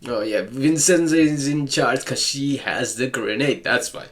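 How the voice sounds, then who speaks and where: A gamer guy in casual play-through commentary, mid-pitched and chatty. He pokes fun at the story and answers the characters with dry, sarcastic jokes. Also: unhurried, a bit bright. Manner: sarcastic, joking asides to the game.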